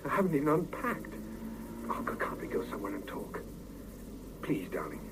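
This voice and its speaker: Actor as earnest young husband, animated, hissy old recording. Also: male voice, medium pitch, normal speed, close to an everyday voice. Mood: pleading. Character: earnest young Soviet husband and father, pleading and affectionate. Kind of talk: 1970s British radio drama acting. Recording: hissy 1970s mono radio cassette.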